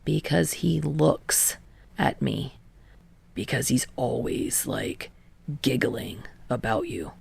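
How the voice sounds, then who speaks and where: A woman voicing a blunt teenage boy athlete in a low, breathy murmur with a flat, grumbling, halting manner for a story reading. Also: exaggerated voice. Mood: grudging, embarrassed.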